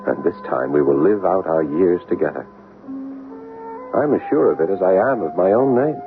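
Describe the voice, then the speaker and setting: An actor playing an earnest, haunted son who tells his own story in a dramatic, measured old-time radio style. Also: deep voice, lively intonation. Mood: wistful, resolved. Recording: tinny old radio recording with music.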